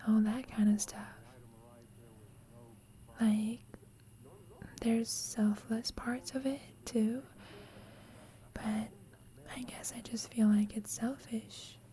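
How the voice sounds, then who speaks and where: Woman performing shy lovestruck female roommate, young, breathy whisper, mellow, hesitant, tender, intimate ASMR roleplay. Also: on the high side, little pitch movement. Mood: shy, uncertain. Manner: hesitant, slow, confiding.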